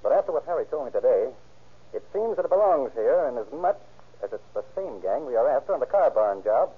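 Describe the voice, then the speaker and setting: Brisk, husky old-radio sheriff. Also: male voice, medium pitch, sing-song. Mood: briefing. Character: seasoned, dry-witted male sheriff in command. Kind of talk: 1930s radio drama acting. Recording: tinny, band-limited 1930s radio recording.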